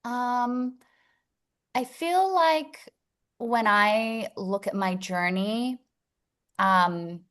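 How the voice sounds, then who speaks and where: Woman chatting warmly. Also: on the high side, drawn-out words, pauses often. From Italy. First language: Italian. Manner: open, chatty, laughs often. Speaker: featured guest educator. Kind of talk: casual chat on a video call.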